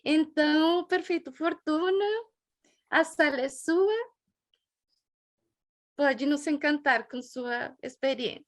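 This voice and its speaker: High-pitched Brazilian woman, gentle host. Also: takes it slowly, pauses often. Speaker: event mediator and host. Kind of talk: heartfelt opening of a group gathering.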